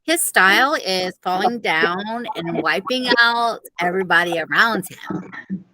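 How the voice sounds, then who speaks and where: A woman's voice: mid-range voice, expressive, a little slow, crowd noise, voice pushed down, natural voice, rough recording. Woman playing an indignant, dramatic teenage cheerleader. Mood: dismayed. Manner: emphatic, protesting, dramatic. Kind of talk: children's audiobook character reading.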